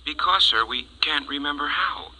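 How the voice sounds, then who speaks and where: An actor playing a subdued, dutiful spaceship lieutenant in a 1950s radio drama, formal and deferential. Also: male voice, fairly high pitch, moderate pace, pushed and bright, low-quality recording. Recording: vintage 1950s radio with faint noise.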